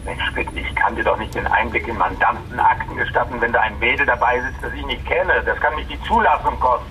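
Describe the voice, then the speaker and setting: A man's voice: medium pitch, fairly quick, far from the mic. A man acting a helpful but cautious lawyer and friend on a phone call in a naturalistic German radio drama. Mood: admonishing. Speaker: actor. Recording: dull phone call, loud vehicle noise.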